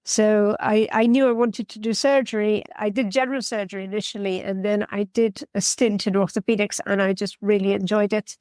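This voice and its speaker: A woman's voice: medium pitch, fairly even intonation, brisk, hardly any pauses, darkish tone, no room sound. A woman from Guyana, a surgeon, answering interview questions in a plain, practical, explanatory way. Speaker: orthopedic surgeon guest.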